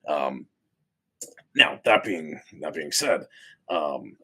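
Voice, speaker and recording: A man hosting a casual panel discussion, talking in a halting, sardonic way. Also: very deep voice, lively intonation, bright, forceful tone, slightly rough. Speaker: host of a panel talk show.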